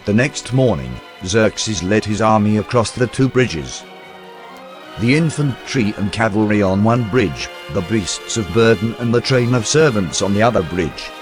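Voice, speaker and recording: A man reading a historical account aloud in a measured, storytelling documentary narration. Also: on the low side, animated, faint music behind. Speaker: reader of historical text.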